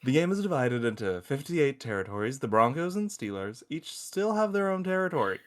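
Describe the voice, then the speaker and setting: A man's voice: mid-range voice, a little fast, natural voice. A man playing a crude, teasing space probe who loves football and shows off as he explains, in a table-read audio drama. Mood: earnest, enthusiastic. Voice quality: animated, swooping delivery. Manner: foul-mouthed, teasing, showy explainer.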